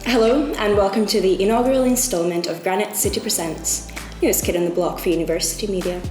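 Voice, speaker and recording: A woman's voice: medium pitch, fast talker, darkish tone. A woman hosting a student TV programme, reading a scripted intro with playful, mock-grand wording. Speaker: host. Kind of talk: scripted presenting. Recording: echoey room with music behind.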